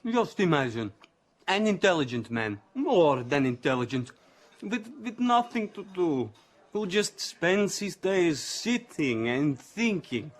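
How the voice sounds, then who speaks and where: Smug young suspect taunting the cops, slow n drawly, high voice, radio play. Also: male voice, sing-song, exaggerated voice. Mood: smug, goading. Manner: mocking, theatrical, drawn-out. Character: arrogant, taunting, clever young male suspect. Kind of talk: radio drama acting.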